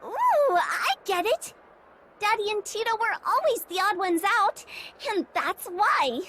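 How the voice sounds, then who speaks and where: A woman's voice: very high-pitched, big pitch swings, measured pace, fairly bright tone, soft background music, exaggerated voice. A woman voicing a perky, sassy little sister in a video game, bubbly and teasing. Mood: curious, chirpy. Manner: teasing, bubbly, plain-spoken.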